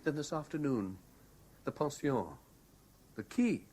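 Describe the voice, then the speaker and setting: A man's voice: on the high side, expressive, measured pace, a little mellow. An actor in a 1970s radio drama playing an obsessed, domineering man haunted by a lost love, intense and insistent. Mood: demanding, accusing. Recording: noisy vintage radio broadcast recording.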